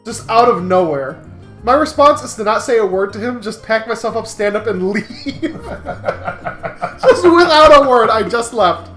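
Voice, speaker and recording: A young American man telling anecdotes as a game co-host, in casual chat with a wry, halting delivery. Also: high-pitched, expressive, sharp tone. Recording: roomy echo, faint music behind.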